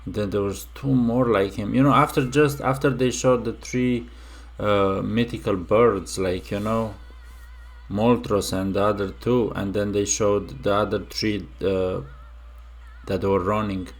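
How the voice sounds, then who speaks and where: A young man from Romania talks through a reaction video in a low, gravelly voice with plenty of vocal fry. He speaks slowly and haltingly, trailing off and restarting his thoughts as he muses aloud. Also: steady tone, soft background music. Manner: musing, halting, with many fillers and restarts. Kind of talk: casual reaction commentary.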